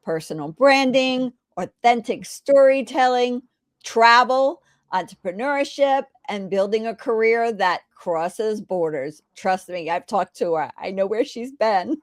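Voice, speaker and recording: A woman's voice: a little high, expressive, measured pace, no echo, studio quality. A woman hosting an interview, introducing her guest and asking questions in an enthusiastic, chatty way. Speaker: host.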